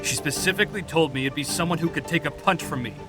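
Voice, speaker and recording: A man's voice: on the high side, fast talker, music in the background, natural voice. A man playing a blunt, easygoing male demigod brawler. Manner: laid-back, blunt.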